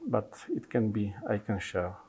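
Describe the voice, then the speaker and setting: A man in his fifties whose first language is Polish, presenting his book with many pauses, fillers and restarts in non-native phrasing. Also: lowish voice, steady tone, darkish tone, breathy edge. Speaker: consultant pediatrician.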